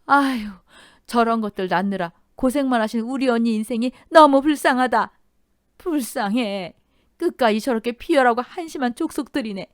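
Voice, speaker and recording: A woman's voice: on the high side, moderate pace, no echo, clean studio sound, pitched above the performer's own voice, lightly acted. Woman voicing an outspoken older aunt. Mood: indignant. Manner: scolding, outspoken. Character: older aunt-in-law, blunt and indignant.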